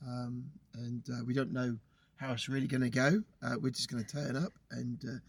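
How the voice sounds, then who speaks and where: Male co-host with a cold, raspy and gravelly, relaxed rambling chat outdoors. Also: mid-range voice, normal speed, no echo. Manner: relaxed, rambling, pointing out sights. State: has a cold. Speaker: podcast co-host. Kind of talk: casual chat on location. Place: outdoors by the sea on a windy day.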